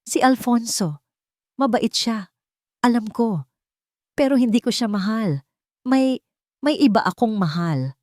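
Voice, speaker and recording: A woman voicing a wealthy young fiancée, gentle and sad, speaking softly and calmly in an audio love story. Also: on the high side, unhurried, pauses often, no room sound, studio quality, voice pushed up. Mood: tearful confession. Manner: soft, calm, sorrowful.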